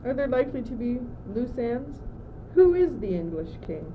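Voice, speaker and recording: Casting: teenage girl as confused, gloomy young courtier in school radio play, highish female voice, stagey plaintive delivery, lo-fi sound. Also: sing-song, moderate pace. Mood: puzzled. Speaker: student actor. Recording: muffled old amateur recording, noisy.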